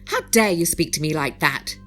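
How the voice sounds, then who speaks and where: A woman's voice: low-pitched, lively intonation, normal speed, pushed and bright, soft background music. A woman playing a haughty, controlling middle-aged widow and mother in an audio drama, imperious and formal. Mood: imperious, offended.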